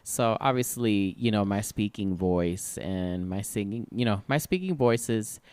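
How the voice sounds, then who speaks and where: A man's voice: on the low side, animated, unhurried, darkish tone, clean tone, no echo. A man in his forties co-hosting a podcast, chatting casually and expressively, laughing often. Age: 40s. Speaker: podcast co-host. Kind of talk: casual chat.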